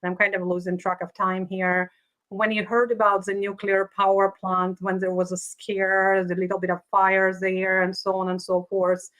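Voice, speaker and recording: A woman's voice: medium pitch, monotone, normal speed, a bit bright, low-quality recording. A woman from Ukraine, a native Russian speaker, talking earnestly as an interview guest and leadership coach. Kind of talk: conversational interview.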